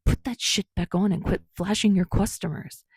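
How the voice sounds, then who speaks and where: Woman doing grumpy scolding young guy for a story, growly n creaky, kinda husky. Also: medium pitch, fairly even intonation, moderate pace, dry recording. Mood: irritated growl. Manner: scolding, growling, muttering curses. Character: gruff, grumpy, foul-mouthed young man, secretly flustered.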